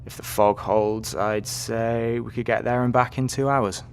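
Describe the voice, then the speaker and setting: An actor playing a breezy, courteous and wry Royal Marines lieutenant in an audio drama. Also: male voice, medium pitch, fairly even intonation, a little slow, clean tone, natural voice. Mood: breezy, confident.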